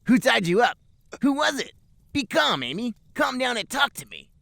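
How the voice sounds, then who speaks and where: A man's voice: very high voice, sing-song, moderate pace, creaky, sharp tone, no echo, pitched above the performer's own voice, exaggerated voice. A man voicing a brash, urgent young cartoon hedgehog hero in an amateur comic fan fiction reading. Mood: urgent concern.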